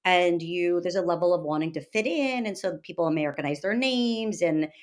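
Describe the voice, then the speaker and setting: A woman in her early fifties from Texas speaks as an interview guest in a slightly low register. Her delivery is conversational and personal, emphatic, and often halting as she rephrases herself. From Texas, United States. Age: early 50s. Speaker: podcast guest, author and meditation teacher.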